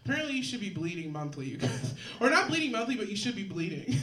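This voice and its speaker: A woman with a deep voice performing a live stand-up set on stage, rambling with halting restarts and a dry delivery. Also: big pitch swings, fairly bright tone. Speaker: stand-up comedian. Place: comedy stage in a room. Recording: echoey room from far off, noisy.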